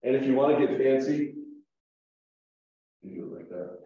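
A man teaching a Greek lesson at a whiteboard, explaining slowly with fillers and restarts, heard through a room mic on a video call. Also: mid-range voice, lively intonation, mellow, recorded from a distance. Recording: echoey room, dull lo-fi sound.

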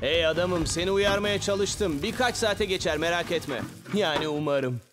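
A man's voice: sits high, moderate pace, over music, natural voice. A man voicing a laid-back, cool teenage gorgon boy in a cartoon dub, casual and breezy. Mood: nonchalant.

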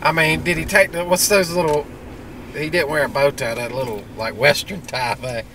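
A man, driving and telling stories in excitable, laughing banter. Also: a little high, moderate pace, distant mic. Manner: excitable, storytelling, laughs a lot. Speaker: co-host. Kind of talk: casual banter in a car. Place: car interior, driver's seat. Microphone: camera on the dashboard. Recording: car camera, faint road noise.

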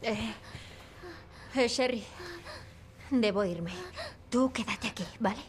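Brave, high-voiced young Spanish heroine. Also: female voice, slow, slight background noise. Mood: soft, protective. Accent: Castilian Spanish. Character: brave, protective young woman survivor. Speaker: game voice actor. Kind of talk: cinematic video game acting.

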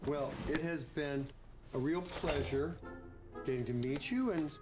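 Slow, smug male skeptic, played by a man. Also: a little high, close to an everyday voice. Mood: smugly polite. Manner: dry, condescending, drawn out. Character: smug, unhurried male skeptic questioning ghost hunters. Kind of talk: scripted game cutscene acting. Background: loud music.

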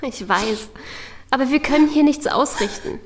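An actress playing a calm woman who soothes her fellow captives in an amateur audio drama. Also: high voice, moderate pace.

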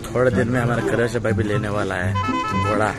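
Young male travel vlogger, selfie phone audio, loud music bed underneath. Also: on the low side, fairly even intonation, a little fast. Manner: chatty, laughing, addresses viewers as friends. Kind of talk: casual travel vlog. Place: outdoors on busy town streets and at a tourist site. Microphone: phone held at arm's length, selfie. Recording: loud background music over the talk.

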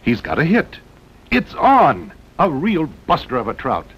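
A man's voice: huge pitch swings, a little slow, a bit bright, breathy edge. An American man narrating a 1960s promotional documentary film in a dramatic way, with punchy short phrases. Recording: muffled old film soundtrack with rumbling noise.

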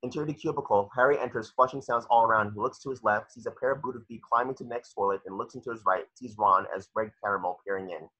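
A man's voice: lowish voice, fast, hardly any pauses, sharp tone, husky. A man reading scripted stage directions as narrator of an amateur table read. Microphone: video call. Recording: muffled video-call audio.

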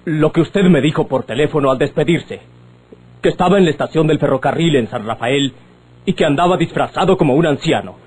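Indignant young son, fairly high voice, formal, declamatory, radionovela style. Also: male voice, fairly quick, a little mellow, breathy edge. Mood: grave, defiant. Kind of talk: old Mexican radionovela acting.

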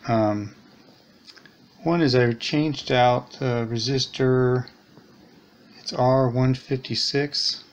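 A man giving a casual hands-on repair demonstration at a workbench, thinking aloud a little hesitantly. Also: mid-range voice. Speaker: electronics repair hobbyist, host. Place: indoors at a workbench.

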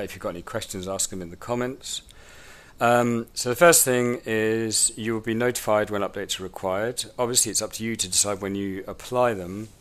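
A man with a cold, sounding snuffly, giving a relaxed, rambling step-by-step tutorial at a table in a room at home. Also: lowish voice. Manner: informal, rambling, many ums. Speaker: drone instructor. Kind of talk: hands-on tutorial walkthrough.

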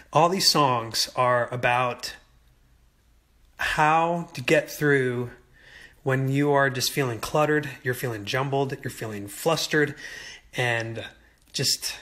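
Easygoing young man on a phone livestream. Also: medium pitch. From United States. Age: young adult. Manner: easygoing, rambling, slow-paced, lively. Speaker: singer answering fan questions. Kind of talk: casual livestream chat. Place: indoors at home. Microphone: phone at arm's length.

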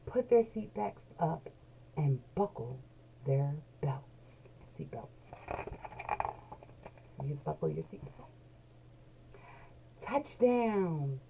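Dark-voiced woman reading a picture book. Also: lowish voice, creaky, husky. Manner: animated storyteller, deliberate, drawn-out key words. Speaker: children's book read-along host. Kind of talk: expressive read-aloud of a picture book for young children. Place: small indoor home room with bookshelves. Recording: noisy home webcam recording.

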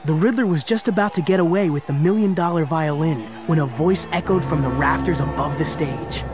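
An actor performing as the narrator of a superhero audio drama, telling it in a polished, suspenseful scripted style. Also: male voice, on the high side, fairly quick, lightly acted. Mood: dramatic. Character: dramatic male storyteller of a superhero adventure. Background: dramatic music.

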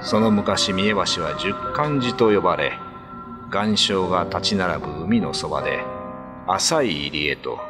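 Low-voiced man narrating a ghost story. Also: animated, a little slow, music in the background. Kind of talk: dramatic scripted narration.

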